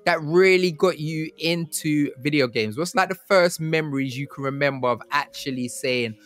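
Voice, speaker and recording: A man's voice: fairly high pitch, moderate pace, a bit bright. A man hosts a casual interview in slangy, encouraging talk. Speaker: podcast host. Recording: music playing behind the talk.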